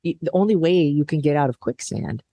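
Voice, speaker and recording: Reflective guest who thinks aloud; mid-pitched voice, dry close sound. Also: expressive, a little fast, warm tone, no room sound. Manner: reflective, thinks aloud, acts out past thoughts. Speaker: guest, business co-founder. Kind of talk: conversational interview.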